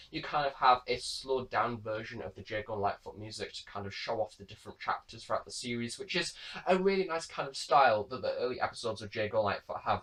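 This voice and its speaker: A man's voice: fairly low pitch, fairly bright tone, low-quality recording. A man giving a review commentary in a rambling, hedging way. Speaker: reviewer, host of an audio drama review series.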